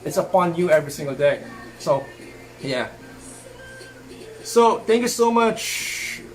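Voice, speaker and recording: Earnest young man, fairly high voice. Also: animated, slight background noise, low-quality recording. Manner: earnest, switches between English and Tagalog; says he stutters when his thoughts run fast. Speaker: pageant titleholder answering fan questions. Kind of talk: casual live-stream Q&A.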